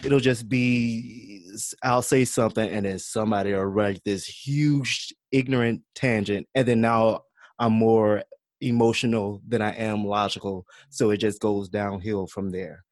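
A man from Louisiana speaking as a podcast guest, thoughtful and hesitant, with pauses between his thoughts. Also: on the low side, fairly even intonation, no room sound. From Baton Rouge, Louisiana. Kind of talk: casual discussion.

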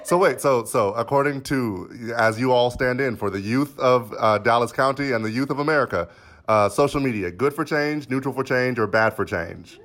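A man's voice: mid-range voice, moderate pace, a bit bright. A man moderating a casual panel as co-host, informal and jokey.

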